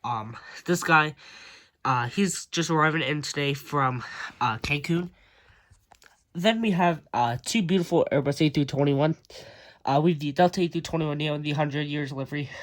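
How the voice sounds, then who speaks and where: A man's voice: a little high, moderate pace, fairly bright tone. A young man with a congested, stuffy voice, narrating a casual video tour of his model airport, with frequent ums and uhs.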